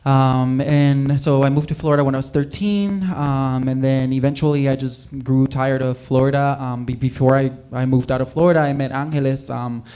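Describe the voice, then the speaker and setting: Mellow young man from Puerto Rico. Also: medium pitch, normal speed, hardly any pauses, smooth. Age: young adult. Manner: relaxed, rambling, many ums and likes. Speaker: musical guest. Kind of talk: casual interview chat.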